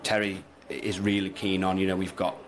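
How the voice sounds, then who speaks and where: A man's voice: sits low, level tone, background noise. A man, a teacher, speaking earnestly on a radio show with frequent restarts. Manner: halting, earnest, many restarts. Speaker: teacher, guest. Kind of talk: radio interview.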